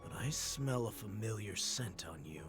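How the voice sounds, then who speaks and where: A man playing a curt, suspicious fox-man pilot in a video game, gruff and gravelly. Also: lowish voice, lively intonation, normal speed, over music, natural voice. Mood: guarded.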